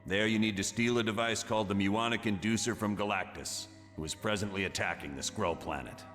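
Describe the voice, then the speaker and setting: An actor playing a gruff, commanding colonel who briefs a team of heroes in a blunt, authoritative way in a video game. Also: male voice, fairly low pitch, fairly quick, fairly bright tone, big-room sound, soft background music, natural voice. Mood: stern briefing. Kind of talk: video game cutscene voice acting.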